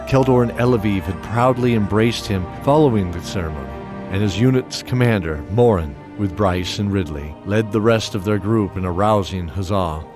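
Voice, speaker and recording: A man narrating a fantasy audio drama in a measured storytelling manner. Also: fairly low pitch.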